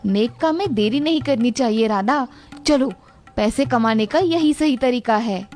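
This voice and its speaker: A woman's voice: on the high side, expressive, unhurried, a little mellow, faint music behind. A woman voicing a greedy, scheming old village mother-in-law in a cartoon story. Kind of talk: Hindi cartoon story voice acting.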